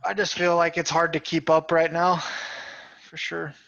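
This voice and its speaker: Wry male student, bright gravelly voice, dry and slightly muffled call audio. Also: on the high side, level tone, moderate pace, no echo. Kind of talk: casual chat on a video call. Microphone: video call.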